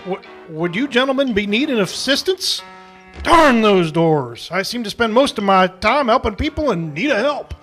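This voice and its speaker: A man's voice: high voice, very animated, a bit bright, soft background music. A man playing an amiable, stammering Old West character in a radio western parody. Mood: helpful, hesitant. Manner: stammering, repetitive, drawn-out wonder.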